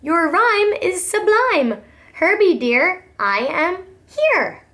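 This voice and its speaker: Casting: woman plays adoring girl slug poet for a storybook, dreamy, high and very melodic, unhurried rhymes. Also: very animated, fairly bright tone, full character voice. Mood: delighted. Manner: dreamy, singsong rhyming verse.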